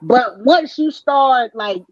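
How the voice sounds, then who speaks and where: A woman's voice: high-pitched, lively intonation, slow pace, no echo, lo-fi sound. A woman arguing her point in a casual panel chat, emphatic and slangy, often repeating herself.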